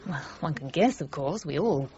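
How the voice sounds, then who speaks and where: An actress playing an articulate, guarded woman personnel manager who gives measured, discreet answers in a radio crime drama. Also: deep voice, expressive, a little mellow, dull audio, slight background noise. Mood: discreet, reflective.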